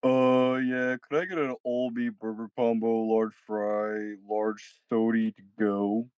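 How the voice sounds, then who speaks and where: Man doing a slow, laid-back young stoner. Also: medium pitch, flat delivery, a little mellow, smooth, no echo, dull audio, lightly acted. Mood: vague, mellow. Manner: slow, drawling, vague. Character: laid-back male stoner in his early twenties. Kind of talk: comedy film table read.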